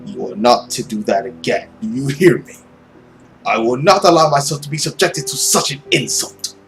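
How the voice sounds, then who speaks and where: A performer voicing a haughty, cruel creature who feeds on love, in a melodramatic visual novel reading with drawn-out, swooping words and whispers. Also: medium pitch, creaky, soft background music, natural voice, low-quality recording. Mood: stern, irritated. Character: cruel, theatrical vampiric love creature, haughty and vengeful villain.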